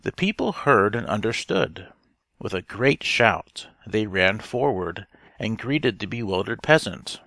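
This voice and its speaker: A man reading a storybook narration aloud in a measured, deliberate manner. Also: fairly low pitch, lively intonation, sharp tone, dry recording, clean studio sound. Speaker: audiobook reader.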